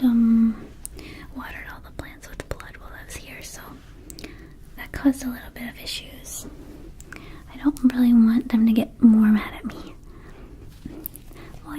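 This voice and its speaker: Woman as friendly vampire girl minding an herb shop, ASMR roleplay. Hushed, breathy, light high voice, gently coaxing. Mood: sheepish, confiding. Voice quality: soft breathy whisper. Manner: soothing, coaxing, hesitant asides. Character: friendly, mischievous young female vampire herb-shop keeper.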